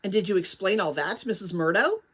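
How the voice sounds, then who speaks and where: A woman's voice: mid-range voice, lively intonation, quick pace, fairly bright tone, slightly rough. A woman voicing a serious, practical young boy in a storybook adventure, speaking in a matter-of-fact, questioning way.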